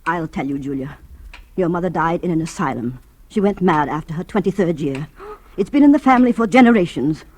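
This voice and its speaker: An actress playing a middle-aged guardian's wife, soothing yet ominous, with measured old radio-drama delivery. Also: female voice, low-pitched, animated, darkish tone, slightly rough. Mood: blunt, grave. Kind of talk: vintage radio drama acting. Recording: noisy, crackly old radio recording.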